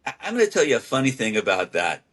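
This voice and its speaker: A man's voice: mid-range voice, wildly swooping pitch, quick pace, fairly bright tone. A man from Hawaii, a guest on a podcast, who tells swimming anecdotes in a casual, storytelling way and repeats words as he starts. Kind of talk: casual interview chat.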